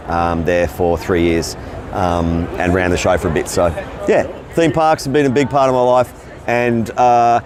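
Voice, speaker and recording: A man's voice: lowish voice, background noise. A middle-aged Australian man, a voice actor telling his career story in a loose, rambling way at a convention. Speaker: voice actor interviewee. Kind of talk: casual interview answers. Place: convention floor.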